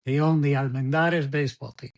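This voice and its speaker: A man's voice: medium pitch, steady tone, brisk. Older man, storytelling in his second language. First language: Spanish. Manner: rambling, repeats words, laughs while telling stories. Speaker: guest. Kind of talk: storytelling interview.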